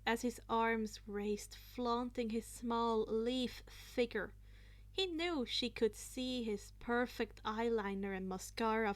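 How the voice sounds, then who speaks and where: A woman narrating fan fiction aloud in an expressive, playful amateur style, now and then stumbling over a word. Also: a little high. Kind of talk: expressive amateur story reading.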